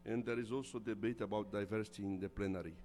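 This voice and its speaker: A man's voice: fairly low pitch, steady tone, quick pace, very noisy. A man making program announcements at a conference, hesitant with fillers. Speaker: conference organizer.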